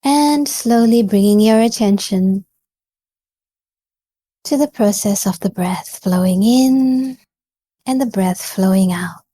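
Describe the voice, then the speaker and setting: A woman leading a guided meditation in a gentle, calm and encouraging manner, leaving pauses between phrases. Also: mid-range voice, no room sound. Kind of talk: guided body scan meditation.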